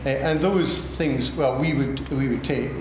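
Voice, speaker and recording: An older man speaking on a policy panel in a large formal room, halting and measured with many filler sounds. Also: mid-range voice. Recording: echoey hall, room noise.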